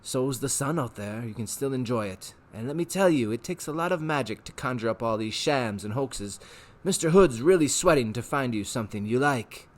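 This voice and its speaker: A man's voice: mid-range voice, animated, natural voice. A man voicing a pushy, wisecracking male trickster who hollers and talks like a huckster in a storybook reading. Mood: hushed, sly. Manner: loud, fast-talking huckster, hollers.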